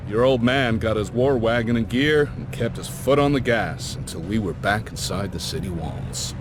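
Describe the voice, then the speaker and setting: A folksy older adventurer, played by a man, tells his tale in a low voice with slangy, reflective phrasing. It sounds like a cinematic game cutscene, with music clearly playing behind him. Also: moderate pace, close to an everyday voice. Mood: grave. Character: folksy older male adventurer, warm storytelling uncle type.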